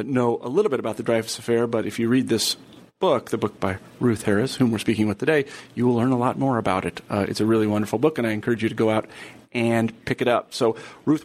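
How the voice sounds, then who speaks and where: A man's voice: medium pitch, darkish tone. An American man from the Midwest with a general American accent, hosting a history book interview in a conversational way with many fillers and restarts. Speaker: podcast host. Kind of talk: interviewing an author.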